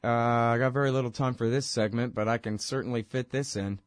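A man's voice: medium pitch, steady tone, fairly quick, no echo, studio quality. A man with a head cold hosting his own talk show, rambling and sarcastic as he talks through his segment. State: congested from a cold. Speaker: podcast host. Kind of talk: solo talk-show monologue.

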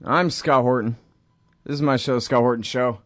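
A man's voice: mid-range voice, moderate pace, no echo. Guy hosting a political talk show, sarcastic rant, dry close sound. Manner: sarcastic, ranting, animated. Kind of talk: political radio commentary.

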